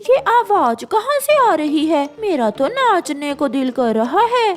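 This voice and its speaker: A vain, fickle lady crow from a children's cartoon, as a woman plays her. Her voice is very high and swings widely in pitch. She sounds girlish and emphatic. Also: sing-song, takes it slowly, soft background music, exaggerated voice. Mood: delighted. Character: vain, fickle young female crow who loves dancing. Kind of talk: animated children's story.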